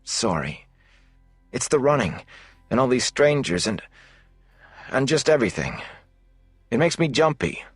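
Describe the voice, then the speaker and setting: A male reader acts a nervous young runaway in a fantasy story. The delivery is edgy and suspicious, swinging widely in pitch and lifted well above the reader's narration. The sound is close and dry. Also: big pitch swings, unhurried, pauses often, breathy edge, no echo, faint music behind. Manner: tense, edgy, hesitant. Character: jumpy, suspicious young man on the run.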